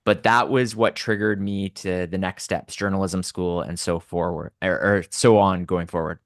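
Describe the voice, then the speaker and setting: A man's voice: low-pitched, fairly even intonation, normal speed, no echo, studio quality. A man from Southern Alberta with a Canadian accent, telling personal stories conversationally and articulately as a podcast guest.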